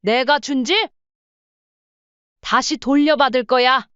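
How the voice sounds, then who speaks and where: A woman playing a firm, proud mother-in-law in her sixties in a scripted audio story, speaking plainly and deliberately. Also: high-pitched, fairly bright tone, clear, resonant tone, no echo, lo-fi sound. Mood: indignant, scolding.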